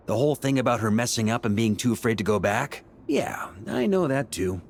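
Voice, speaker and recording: Easygoing, lively-toned adventurer. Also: male voice, mid-range voice, fairly quick, creaky, slight background noise. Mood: casual. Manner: casual, frank. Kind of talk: video game voice acting.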